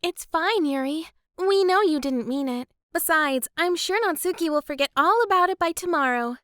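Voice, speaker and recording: A woman voicing a sweet, composed teenage club president in an anime visual novel fan dub, polite and gently authoritative. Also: very high-pitched, lively intonation, moderate pace, no echo, clean studio sound. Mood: reassuring.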